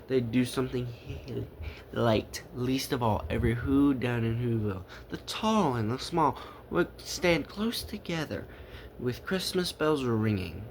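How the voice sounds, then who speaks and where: A man's voice: mid-range voice, gravelly, slight background noise. A man reading a rhyming children's story aloud theatrically, leaning into words with dramatic emphasis. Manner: dramatic, drawn-out emphasis and repetition.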